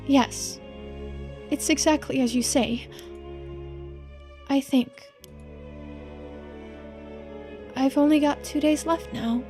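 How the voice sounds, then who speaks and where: A woman's voice: high voice, steady tone, takes it slowly, darkish tone, faint music behind. A woman voicing a girlish, earnest and resigned immortal character in a visual novel. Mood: resigned, sincere.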